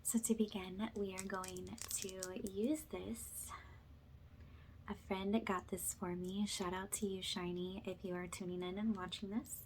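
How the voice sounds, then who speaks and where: A woman speaks softly and slowly in an ASMR style, often slipping into a whisper. Her delivery stays even and gentle, with a casual, soothing way of guiding the listener. There is faint noise in the background. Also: medium pitch, poor audio. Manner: soft-spoken, often drops into a whisper. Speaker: ASMR energy healer, host. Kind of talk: ASMR, guided spiritual cleansing.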